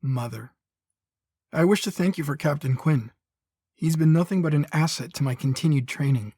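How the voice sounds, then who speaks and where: Casting: male actor for devoted warrior son, formal and husky, mid-range, close dry sound. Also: on the high side, normal speed, dark tone, dry recording. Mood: respectful. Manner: formal, deferential.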